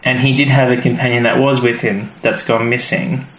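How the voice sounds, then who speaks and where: A man's voice: medium pitch, level tone, brisk, fairly bright tone. Chatty man in a roomy space. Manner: rambling, many fillers. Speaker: podcast co-host. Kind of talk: casual chat. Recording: roomy with faint noise.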